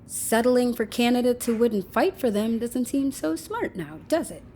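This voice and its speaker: A woman's voice: fairly high pitch, moderate pace, dark tone, a little hiss or hum, natural voice. A woman acting in a naturalistic audio drama as a breezy, unapologetic, sardonic woman who teases flippantly. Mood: flippant, amused. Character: breezy, unapologetic woman with an experimental safety chip.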